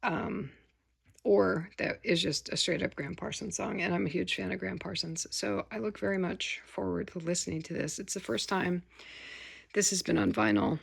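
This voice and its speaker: A tired woman with a gravelly voice, rambling casually about her record haul in a home vlog. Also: fairly low pitch, lively intonation, moderate pace. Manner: rambling, many fillers and restarts. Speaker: vinyl record vlogger. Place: home room with window open. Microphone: phone camera.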